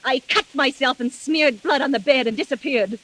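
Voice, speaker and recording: An actress playing a jealous, vengeful wife driven to madness in melodramatic 1940s radio mystery style. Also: female voice, high voice, normal speed, background noise, close to an everyday voice. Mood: bitter, vengeful. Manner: melodramatic, menacing. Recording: old muffled 1940s radio recording.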